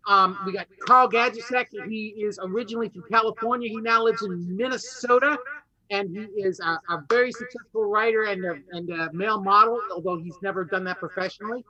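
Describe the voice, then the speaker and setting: A man hosting a quiz show in a lively, partly improvised style, introducing and explaining as he goes. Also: high-pitched, muffled recording. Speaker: game show host.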